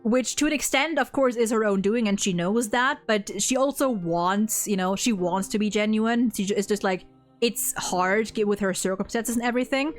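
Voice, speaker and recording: A woman in a casual, excited fan discussion, effusive and slangy, rambling with lots of repeated "likes". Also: mid-range voice, normal speed, faint music behind. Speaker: video host discussing a game.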